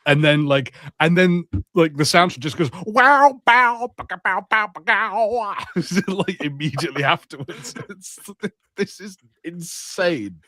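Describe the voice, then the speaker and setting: A man co-hosting a film podcast, chatting casually and telling things in an animated way with mock impressions. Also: high voice, measured pace, dry recording. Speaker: podcast co-host. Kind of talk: casual banter on a film watch-party podcast.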